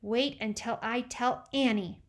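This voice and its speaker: A woman doing a shrill bossy seven-year-old girl. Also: fairly even intonation, close to an everyday voice. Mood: prim lecturing. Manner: loud, scolding, drawn-out words.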